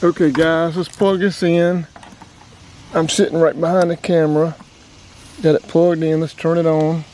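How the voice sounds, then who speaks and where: A man's voice: fairly high pitch, little pitch movement, normal speed, a little mellow, a little hiss or hum. An American man giving a casual hands-on demo, talking straight to viewers in a folksy way. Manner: folksy, addresses viewers directly. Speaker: outdoors channel host and radio operator. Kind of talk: casual hands-on demonstration vlog.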